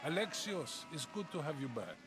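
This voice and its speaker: A man's voice: a little high, very animated, normal speed. A grave, oratorical older statesman. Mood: grave, resolute. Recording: music under the voice.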